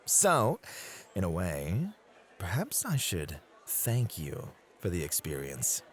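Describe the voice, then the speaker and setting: A man's voice: deep voice, wildly swooping pitch, slow pace, heavily acted. A man playing an affectionate, courteous club host in a romantic ASMR roleplay, sweet-talking and polite. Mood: polite, teasing. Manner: sweet-talking, polite, soft laughs and sighs. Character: affectionate, courteous male club host, gently possessive, motivated rival.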